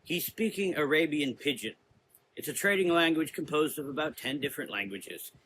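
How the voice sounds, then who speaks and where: A man's voice: a little high, lively intonation, moderate pace, fairly bright tone, slight background noise, pitched above the performer's own voice, poor audio. A man playing a brooding, formal traveler with a solemn, dryly ironic manner in a gothic audio drama. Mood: matter-of-fact.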